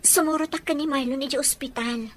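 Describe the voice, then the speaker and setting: A woman's voice: sits high, fairly quick, no echo, close to an everyday voice. A woman with an Ilocano accent playing an insistent, caring young fiancée in a radio drama. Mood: troubled.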